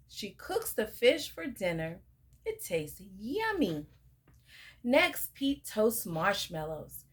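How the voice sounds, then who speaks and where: A young woman reading a picture book aloud to children, sing-song and pausing to ask the listener questions. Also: a little high. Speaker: storyteller.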